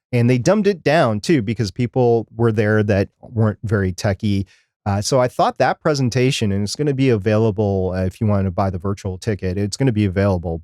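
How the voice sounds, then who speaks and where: A man's voice: on the low side, darkish tone, no room sound, studio quality. A middle-aged man co-hosting a podcast, talking casually and unscripted in a rambling, conversational way. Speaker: podcast co-host. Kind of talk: casual unscripted live chat.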